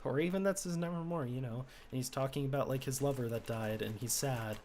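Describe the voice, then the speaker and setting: A young man from the United States streaming a game, musing in a low-key, rambling way and keeping his voice lower than usual. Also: a little fast, a little mellow, slight background noise. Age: teens. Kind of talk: casual chat while gaming.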